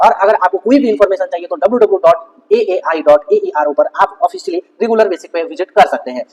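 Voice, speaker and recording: Guy explaining job notice stuff for a video, talks really fast, high voice, big echo. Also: a little mellow, muffled recording. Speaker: YouTube host explaining government job vacancies. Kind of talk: informational video narration, walking through a notice on screen. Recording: echoey hall-like reverb.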